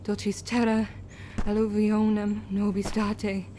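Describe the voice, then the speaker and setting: A resolute young witch acted by a woman from an audio drama. She is solemn and intense, keeping her tone level and her pace slow and deliberate. Faint ambience lies behind her. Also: mid-range voice, little pitch movement, warm tone, slight background noise, natural voice. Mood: incantatory. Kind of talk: scripted first-person audio drama narration.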